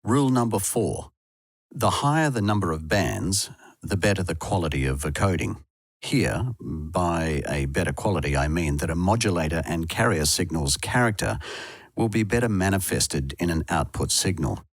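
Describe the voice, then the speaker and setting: A man's voice: deep voice, animated, normal speed, dry recording. A man presenting a tutorial in scripted instructional narration, recorded as a close, dry voice-over. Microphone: close dry voice-over microphone.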